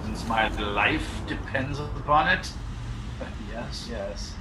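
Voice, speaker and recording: An older man playing a pompous, scheming Edwardian doctor in a radio play, with theatrical, deliberate delivery. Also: medium pitch, background noise, natural voice. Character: pompous, scheming, chauvinist male doctor villain. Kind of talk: period radio play acting. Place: at home on a video call. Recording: roomy home video-call sound.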